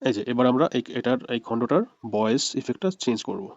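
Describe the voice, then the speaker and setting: A man's voice: medium pitch, fast talker, darkish tone, dry recording, highs cut off. A man narrating a screen tutorial as an instructor, explaining step by step and repeating his points. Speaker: video editing instructor.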